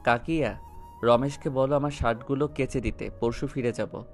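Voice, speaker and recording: A male actor as a wealthy young man in an audio love story. He is commanding and protective, with a firm, cutting delivery at a middling pitch. Music plays behind him. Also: normal speed, darkish tone, close to an everyday voice. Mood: quiet, weary. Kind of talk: Bengali audio story acting.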